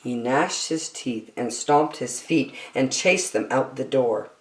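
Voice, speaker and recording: A woman reading a children's picture book aloud with theatrical, deliberate phrasing, in a roomy, echoey recording. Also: very deep voice, animated, far from the mic. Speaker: storytime reader.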